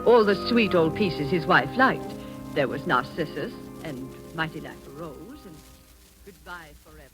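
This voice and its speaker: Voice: mid-range voice, animated, moderate pace, natural voice, rough recording. A performer playing a chatty, proud married woman, a small-town gossip gushing over details in 1940s radio style. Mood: gushing reminiscence. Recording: vintage radio broadcast with music behind.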